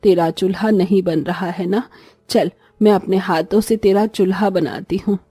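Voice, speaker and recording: A woman's voice: mid-range voice, steady tone, normal speed, dark tone, slightly rough, no echo, studio quality, natural voice. A woman voicing a kindly, motherly old village woman who speaks reassuringly in a cartoon story. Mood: gentle, reassuring.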